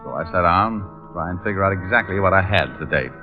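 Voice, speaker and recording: Low, muffled wisecracking radio private eye played by an actor. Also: male voice, quick pace, big-room sound, close to an everyday voice. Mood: wry. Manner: dry, sardonic, wry. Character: wisecracking flirtatious private detective, male. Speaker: lead actor and narrator. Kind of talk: 1940s radio detective drama acting. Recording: muffled old-time radio recording with music.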